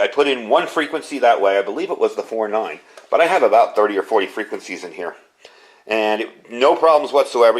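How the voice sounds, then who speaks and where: A man's voice: lowish voice, poor audio. A middle-aged man with an American accent giving a casual, enthusiastic product review in an informal, conversational way. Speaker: product reviewer, amateur radio hobbyist.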